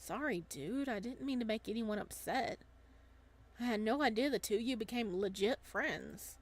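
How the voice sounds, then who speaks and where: A woman's voice: on the high side, moderate pace, natural voice. Woman doing a casual, teasing young guy. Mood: apologetic. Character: casual, teasing young male best friend, loyal. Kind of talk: visual novel dialogue reading.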